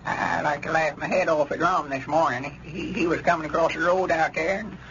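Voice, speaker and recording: Man doing old southern grandpa, high voice, folksy n hesitant, skeptical, faint hiss old radio comedy. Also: steady tone, fairly quick, fairly bright tone, close to an everyday voice. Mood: folksy amusement. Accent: rural Southern US. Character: plain-spoken, skeptical old country grandpappy. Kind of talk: 1930s rural comedy radio acting. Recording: muffled, noisy old radio recording.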